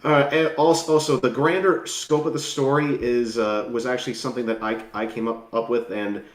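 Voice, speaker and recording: A man chats on a group video call in halting, stop-start sentences. He sits away from his mic, and the room rings around him. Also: mid-range voice, steady tone. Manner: halting, many restarts. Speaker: story consultant. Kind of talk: casual chat on a group call. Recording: roomy, far from the mic.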